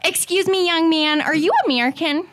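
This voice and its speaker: A woman's voice: extremely high pitch, a little fast, a bit bright, voice pushed up. A young woman playing a nosy, chatty female American tourist who gushes and rambles. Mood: nosy, gushing.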